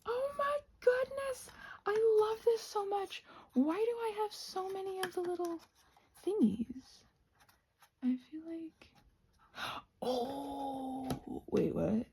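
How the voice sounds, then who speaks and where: An American woman rambling casually while she does crafts, halting and trailing off into soft-spoken asides. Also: very high-pitched, lively intonation, slight background noise. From United States. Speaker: craft and ASMR channel host.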